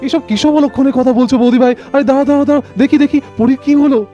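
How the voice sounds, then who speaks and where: A man's voice: extremely high pitch, steady tone, very fast, dark tone, dull audio, soft background music. A man voicing a distraught, grieving villager in a melodramatic doll cartoon, pleading and stammering. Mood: alarmed, shocked.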